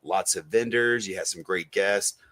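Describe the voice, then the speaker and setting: A man's voice: low-pitched, normal speed, fairly bright tone, dry recording. A man co-hosting a podcast in casual chat with friends, informal and full of slangy enthusiasm. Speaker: podcast co-host.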